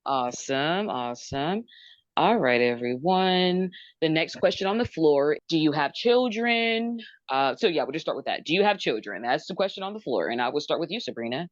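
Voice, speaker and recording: Low-voiced woman hosting a dating show. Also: lively intonation, no room sound, muffled recording. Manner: brisk, repeats short approvals. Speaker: host. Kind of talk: moderating a group dating show.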